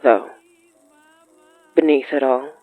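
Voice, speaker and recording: Literary audio-fiction narrator, medium pitch, deliberate with dramatic pauses, close dry sound. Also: no echo, phone-quality sound, soft background music. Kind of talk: scripted horror fiction narration.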